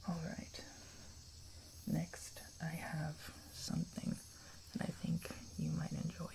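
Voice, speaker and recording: A whispering ASMR performer plays a kind camper looking after a stranger. The voice is heavily creaky and very even in pitch, staying hushed and soothing as it reassures and gently checks in. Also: takes it slowly, low-quality recording. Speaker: ASMR roleplayer playing a caring camper.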